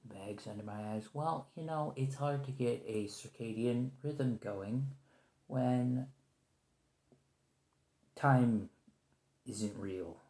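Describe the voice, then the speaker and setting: A man acting a stressed, weary captive special agent in an ASMR roleplay, rambling, halting and apologetic as he pleads, in a roomy recording. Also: mid-range voice, a little mellow. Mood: weary, sarcastic. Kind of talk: ASMR roleplay monologue, improvised conversational acting.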